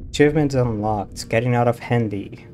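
A man's voice: medium pitch, normal speed, dark tone, slight background noise. A man doing casual live gameplay commentary, muttering brief reactions to himself. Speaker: game streamer. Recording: game music behind voice.

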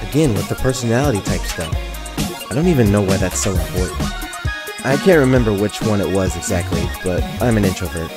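A man's voice: on the low side, lively intonation, fairly quick, natural voice. A man playing a grumbling, introverted new student who narrates a visual novel dryly, sounding distant under music. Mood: exasperated.

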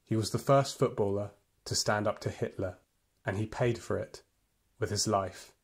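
A man's voice: on the low side, steady tone, close to an everyday voice. An actor playing a nostalgic, proud and wry Austrian football fan, telling his story theatrically in an audio play. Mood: grave, reflective. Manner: theatrical, emphatic, with weighty pauses. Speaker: narrator. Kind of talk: dramatic first-person storytelling in an audio play. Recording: music and crowd noise behind.

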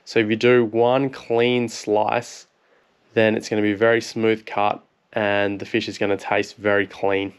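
A man in his thirties with an Australian accent, a fishing vlogger calmly explaining how to prepare fish in a voice-over. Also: lowish voice, a little slow, smooth. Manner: relaxed, plain explaining. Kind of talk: instructional cooking voice-over.

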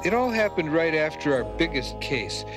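An actor playing a wisecracking, laid-back cartoon ghost hunter, sardonic and glib. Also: male voice, fairly high pitch, big pitch swings, moderate pace. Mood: wry storytelling. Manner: sardonic, glib, self-important. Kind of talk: cartoon voice acting. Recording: music behind the voice.

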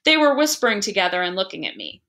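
A woman reading a literary story aloud with expressive, dramatic and deliberate phrasing. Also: medium pitch.

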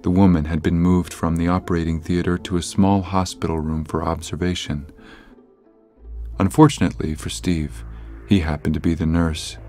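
Male narrator for horror audio drama: deep, measured storytelling over clear background music. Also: little pitch movement, darkish tone.

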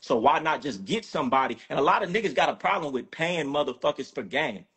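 A man's voice: on the high side, animated, quick pace, fairly bright tone, husky. A man from Sacramento talking bluntly and profanely as a guest on a casual video-call podcast. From Sacramento, California.

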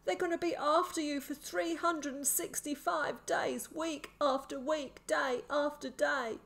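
Woman doing a terrified madman in an audiobook, high strained voice, frantic, gasping, desperate. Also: steady tone, measured pace, a bit bright. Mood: terrified warning. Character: crazed, terrified sick man giving desperate warnings. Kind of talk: audiobook character acting.

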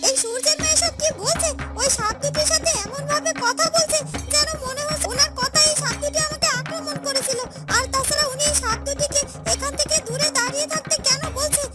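A woman's voice: very high-pitched, steady tone, brisk, over loud music. A woman playing a frightened, pleading young woman in a fairy-tale cartoon, repeating her words anxiously. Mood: suspicious, worried. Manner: breathless repetition of words, pleading.

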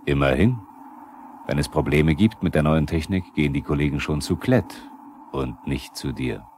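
Sober probing narrator, very deep voice, scripted radio drama, noisy 1970s recording. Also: male voice, lively intonation, moderate pace, darkish tone. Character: sober probing male narrator who challenges the workers. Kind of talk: scripted radio play narration, questioning the characters.

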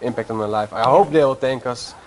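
A man from the Netherlands in his thirties, a native Dutch speaker, answering earnestly and haltingly. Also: medium pitch, crowd noise. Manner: earnest, halting, searches for words. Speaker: guest, family vlogger. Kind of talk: casual interview.

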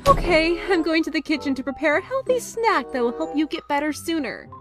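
A concerned cartoon mom, acted by a woman, speaks in a measured, nurturing way. She is motherly even when she scolds, and music plays behind her. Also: high voice. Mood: calm, motherly. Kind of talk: cartoon comic fan dub. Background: background music.

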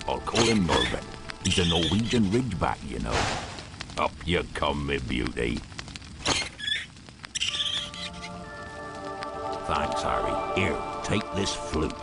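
Big kindly giant for a game, gruff, swoopy delivery, loud music behind. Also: male voice, extremely low pitch, exaggerated voice. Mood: fond, proud. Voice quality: gruff, gravelly. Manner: hearty, rustic, fond. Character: burly, warm-hearted bearded giant groundskeeper. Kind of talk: video game voice acting.

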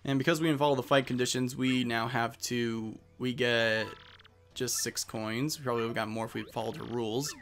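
A man's voice: mid-range voice, fairly even intonation, normal speed, a bit bright. A man giving chatty, rambling, casual commentary as he plays a video game. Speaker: let's player. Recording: music behind the voice. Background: game music.

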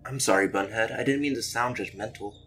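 Woman as a low-voiced playful, dorky teenage boy. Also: fairly quick, soft background music. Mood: concerned. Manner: teasing, earnest. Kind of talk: animated-style comic dub acting.

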